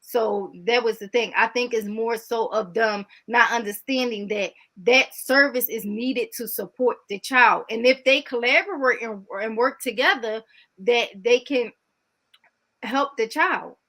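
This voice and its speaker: Higher-pitched woman, candid and informal. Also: measured pace, bright, forceful tone. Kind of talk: conversational interview answers.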